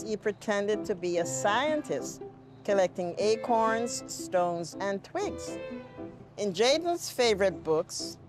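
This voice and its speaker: Woman reading to children over soft music. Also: medium pitch. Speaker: community gardener and storybook reader. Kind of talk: reading a picture book aloud to children. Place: community garden, outdoors.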